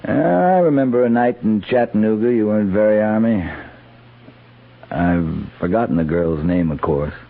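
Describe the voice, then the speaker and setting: A man's voice: lowish voice, lively intonation, moderate pace, creaky, phone-quality sound, slight background noise. An American man, middle-aged, playing a seasoned, stubborn cavalry captain with clipped, dry command, in 1950s radio western style. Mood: dry, measured. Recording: thin band-limited old radio recording.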